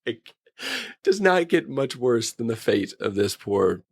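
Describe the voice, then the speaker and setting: A man's voice: mid-range voice, big pitch swings, unhurried, breathy edge, no echo, studio quality. A man co-hosting a casual review podcast, telling things in a wry, joking, anecdotal way. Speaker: podcast co-host. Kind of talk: casual humorous review chat.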